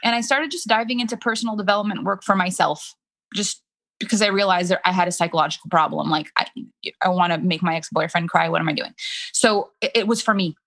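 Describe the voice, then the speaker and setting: A woman's voice: on the low side, fast talker, a bit bright. A woman in her thirties with a French Canadian accent, a French native speaker working as a coach, telling her story candidly in a casual interview, full of "like" fillers. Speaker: business coach.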